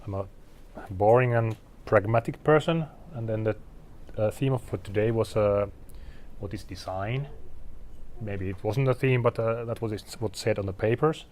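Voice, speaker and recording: A Finnish man in his forties, a designer giving a casual talk with slides to an audience, halting and self-deprecating, clearing his throat often. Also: lowish voice, darkish tone, slight background noise. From Finland. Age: 40s. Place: event venue in front of an audience.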